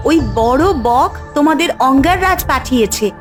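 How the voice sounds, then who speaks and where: A woman's voice: high-pitched, animated, normal speed, soft background music. A woman playing a gracious, resolute, protective fairy mother, speaking earnestly in a fairy-tale cartoon. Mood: stern, defiant. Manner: earnest, declamatory.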